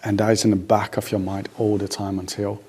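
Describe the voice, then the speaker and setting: A man's voice: on the low side, level tone, brisk, darkish tone, husky. A man from Brazil with a Brazilian accent, a native Portuguese speaker, talking casually about himself in a group. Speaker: panel participant. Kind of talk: casual personal chat.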